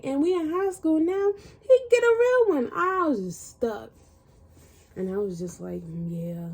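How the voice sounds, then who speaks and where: Husky young American woman telling stories. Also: mid-range voice, a little mellow. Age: young adult. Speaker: storytelling vlogger. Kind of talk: casual personal storytime.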